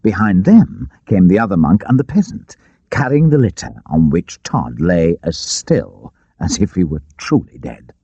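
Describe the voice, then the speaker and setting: A man narrating an adventure audiobook in an expressive, theatrical storytelling style. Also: sits low, unhurried, breathy edge, dry recording. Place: dry studio. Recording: dull, lo-fi tape audiobook.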